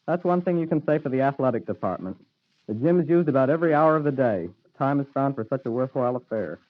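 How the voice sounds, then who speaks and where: A man's voice: medium pitch, animated, fast. Young American man on dull 1940s radio. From United States. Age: teenager. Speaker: student newspaper reporter. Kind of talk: scripted 1940s radio news read. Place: live radio broadcast.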